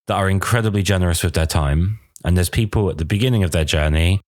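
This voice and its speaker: A man's voice: sits low, quick pace, a little mellow, no echo, studio quality. A man hosting a podcast interview, bunged up and nasal from a cold, chatty and self-deprecating. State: congested from a cold. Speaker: podcast host. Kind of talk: casual interview chat.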